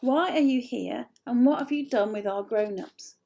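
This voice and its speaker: A woman's voice: on the high side, normal speed, creaky, mellow. A woman voicing a brave young girl who speaks earnestly and firmly. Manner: earnest, firm.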